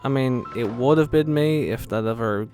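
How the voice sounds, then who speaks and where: Very deep-voiced woman, flippant gaming commentary. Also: normal speed, dark tone. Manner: flippant, joking, sweary. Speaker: gaming video host. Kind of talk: casual game commentary, reacting to dialogue. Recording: faint game music behind the voice.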